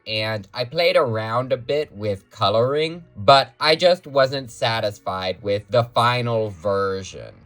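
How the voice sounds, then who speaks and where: A man's voice: mid-range voice, a bit bright, poor audio. A man narrating a behind-the-scenes look at his own videos as their creator and host.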